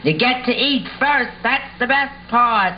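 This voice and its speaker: Man doing a slow, high-pitched young dinosaur. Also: lively intonation. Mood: eager. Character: curious, ignorant young male dinosaur who wants attention. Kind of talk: puppet show character acting. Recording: dull lo-fi sound with faint noise.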